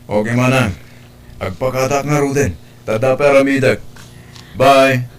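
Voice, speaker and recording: A man's voice: mid-range voice, unhurried, natural voice. A man with an Ilocano Filipino accent playing a betrayed younger brother back from working abroad in an audio drama. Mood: eager, affectionate. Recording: music under the voice.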